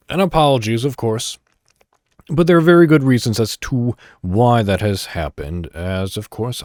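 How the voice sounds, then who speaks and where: A man hosting a podcast alone, talking casually to his listeners in deliberate, broken-up phrases. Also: medium pitch, darkish tone, no echo, studio quality. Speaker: podcast host. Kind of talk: solo podcast monologue, casual talk to listeners.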